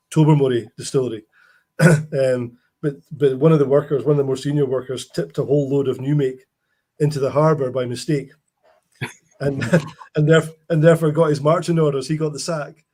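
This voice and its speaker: Male host, middle pitch, chatty, rambling, lots of restarts. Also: fairly even intonation, brisk, mellow. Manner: rambling anecdotes with frequent restarts. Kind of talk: casual chat.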